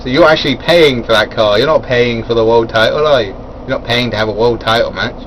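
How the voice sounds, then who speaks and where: A man talks through a wrestling event in a loose, rambling way, with plenty of ums and restarts and little chuckles at his own side remarks. His voice sits in an ordinary middle pitch. A faint hum of air conditioning runs underneath. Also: brisk, fairly bright tone, poor audio. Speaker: wrestling reviewer. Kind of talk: casual spoken event review.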